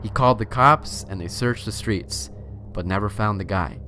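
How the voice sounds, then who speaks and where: Male horror-story narrator, low register, theatrical and suspenseful, recorded with audible background noise. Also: normal speed, sharp tone. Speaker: host and story reader.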